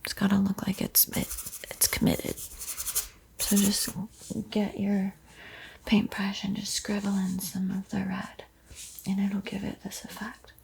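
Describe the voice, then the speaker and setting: Female ASMR art host, breathy whisper, unhurried, little pitch movement, soft encouragement, light hiss and clicks. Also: monotone. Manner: soothing, gently encouraging, unhurried. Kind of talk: whispered ASMR painting tutorial.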